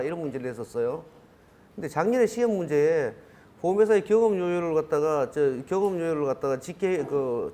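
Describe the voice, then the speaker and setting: A man's voice: fairly high pitch, mellow. A man in his fifties with a regional Korean dialect, giving a classroom lecture in a rambling, self-correcting way with many fillers. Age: 50s.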